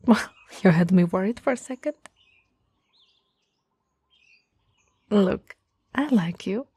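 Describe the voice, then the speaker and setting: A woman playing a tall, teasing, caring young childhood friend in an ASMR roleplay, with a soft, breathy, slightly husky voice and a gently coaxing manner. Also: mid-range voice, animated, no echo. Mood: relieved, caring. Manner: slow, playful, gently coaxing.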